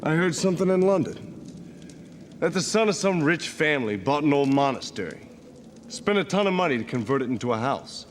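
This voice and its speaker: An actor playing a shady, wisecracking adventurer in a game cutscene, chatty and sarcastic. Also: male voice, a little high, sing-song, brisk, a little hiss or hum. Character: wisecracking shady male adventurer, middle-aged. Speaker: game character.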